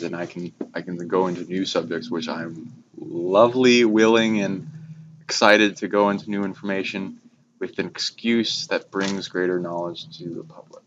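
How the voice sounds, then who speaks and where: A man's voice: deep voice, a bit bright. A man co-hosting a casual spiritual chat, speaking in a meandering, informal and enthusiastic way. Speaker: talk show co-host. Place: informal recording by a fire. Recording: muffled lo-fi, clear background noise.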